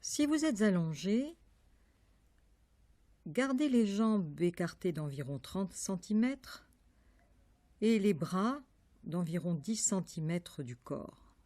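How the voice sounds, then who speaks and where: Woman doing guided yoga nidra, really slow n calm, warm relaxed voice, lots of pauses. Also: lowish voice, no echo. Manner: calm, measured instruction with long pauses. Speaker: yoga nidra meditation teacher. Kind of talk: guided meditation.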